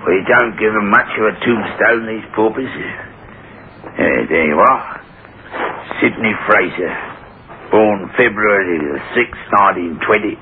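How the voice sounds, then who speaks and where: On a thin, slightly noisy old radio recording, an elderly English caretaker with a low voice. He is a plain working man, hesitant and colloquial, cautious yet sympathetic in radio-play fashion. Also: measured pace, phone-quality sound. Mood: sympathetic, grave. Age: older. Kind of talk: old-time radio drama acting.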